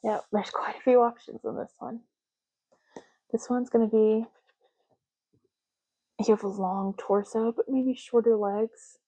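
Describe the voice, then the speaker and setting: A soft-spoken woman hosting an ASMR roleplay, talking in an unhurried, rambling way as if privately to the listener. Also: on the high side, fairly even intonation, rough recording.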